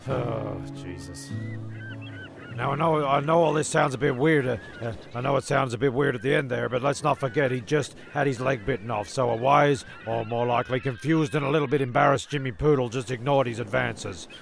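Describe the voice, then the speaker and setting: Comedy audio drama: male actor as defensive rambling storyteller, heavy creak, stammering and flustered, guitar music underneath. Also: medium pitch, fairly quick. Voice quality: gravelly, creaky. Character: defensive, rambling man spinning tall tales, breaking into song.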